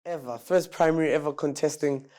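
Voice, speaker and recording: A man's voice: fairly high pitch, quick pace, a little mellow. A South African man in his thirties, a mayoral candidate, speaking in a fluent, confident, conversational way. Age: 30s.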